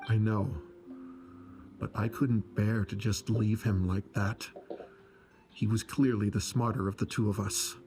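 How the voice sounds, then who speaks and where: A man's voice: fairly low pitch, flat delivery, unhurried, pauses often, a little mellow, soft background music, natural voice. A man voicing a wistful, self-blaming scholar in a video game, speaking hesitantly. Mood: reflective, sad. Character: downcast, self-blaming, soft-hearted male scholar.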